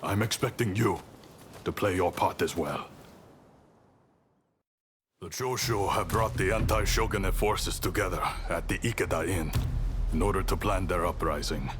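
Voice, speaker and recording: A stern samurai leader, acted by a man, with a very low voice and a measured, commanding delivery. He sounds resolute, in game cutscene style, with clear ambient noise behind him. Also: natural voice. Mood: grave, commanding. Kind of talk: video game cutscene acting.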